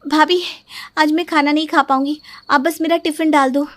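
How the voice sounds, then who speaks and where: A woman voicing a lively, easily upset young working daughter-in-law in a cartoon story. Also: high-pitched, moderate pace, no echo, pitched above the performer's own voice, lightly acted. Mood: hurried. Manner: expressive, complaining and pleading.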